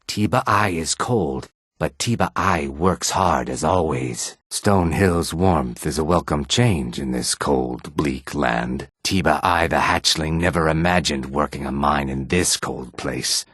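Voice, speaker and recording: A weary lizard-man from a fantasy video game. His voice sits very deep, with a rough, raspy grain, recorded close and dry. He talks plainly and guardedly, a wary working fighter who measures his words. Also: unhurried, few pauses, a bit bright, no room sound, full character voice. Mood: weary, resigned.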